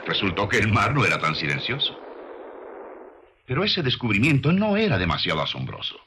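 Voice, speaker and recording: A man narrating a scripted documentary in a solemn, measured, rhetorical manner. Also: on the low side, huge pitch swings, noisy background.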